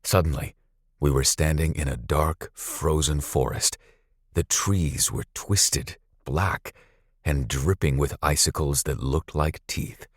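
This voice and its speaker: Extremely deep, slow male storyteller. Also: dry recording, studio quality. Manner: suspenseful, deliberate. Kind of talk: dramatic scripted story narration.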